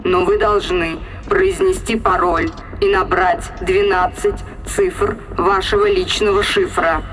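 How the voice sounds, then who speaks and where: A woman playing a spaceship's obedient computer in an audio drama, speaking in formal, measured, emotionless announcements. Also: fairly low pitch, fairly even intonation, a bit bright, poor audio. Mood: flat, obedient.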